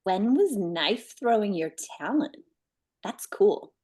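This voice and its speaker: Husky, lively woman playing stubborn pageant contestant. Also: medium pitch, slow, full character voice. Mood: curious. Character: skeptical, stubborn young female beauty pageant contestant. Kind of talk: screenplay table read.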